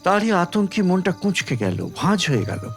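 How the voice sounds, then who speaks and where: A man reading literary fiction as dramatic audiobook narration, measured, with deliberate pauses between phrases. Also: high-pitched, big pitch swings, soft background music. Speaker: story reader.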